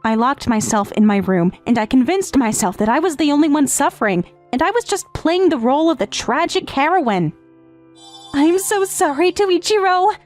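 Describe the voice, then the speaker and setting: Withdrawn teenage step-sister for a manga dub, voiced by a woman: high pitch, earnest, guilt-ridden, tender, hesitant confessions over music. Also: expressive, normal speed. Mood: remorseful. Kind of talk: dramatic first-person narration in manga dub. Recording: background music under the voice.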